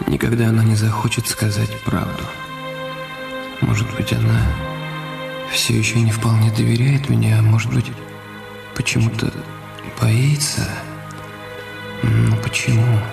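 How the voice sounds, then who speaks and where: Radio play role: anxious, devoted husband, gravelly mid-range voice, halting, coaxing delivery, music behind. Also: male voice, on the low side, little pitch movement. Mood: brooding, doubtful. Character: anxious, tender husband nursing his ailing wife. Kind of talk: radio drama acting.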